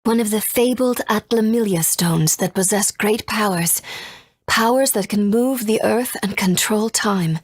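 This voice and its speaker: An actress voicing a gentle, solemn mother in a video game, speaking in a measured, earnest way. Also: medium pitch, breathy edge, dry recording, clean studio sound. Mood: solemn, explanatory. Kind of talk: scripted game exposition.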